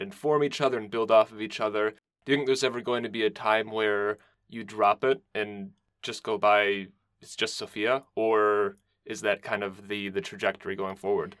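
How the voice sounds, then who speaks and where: A man's voice: on the low side, a bit bright, no echo, studio quality. A man hosting a podcast interview, asking thoughtful questions that halt a little as he forms them.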